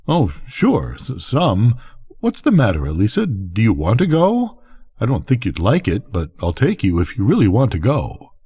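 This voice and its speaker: A well-meaning rancher husband from a storybook, played by an older man reading aloud. He is bewildered, hesitant and folksy, with a husky voice and a very lively pitch. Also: moderate pace, full character voice. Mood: puzzled.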